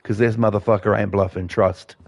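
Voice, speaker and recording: A man hosting casual gameplay commentary, blunt and defiant, his voice croaky from a cold. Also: on the low side, level tone, brisk, dry recording, dull audio. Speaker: gaming channel host.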